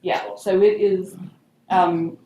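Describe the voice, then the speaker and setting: A woman's voice: mid-range voice, fairly even intonation, slow, gravelly, mellow. A woman on village staff, explaining things conversationally at an informal meeting. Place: meeting room. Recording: echoey meeting room, faint noise.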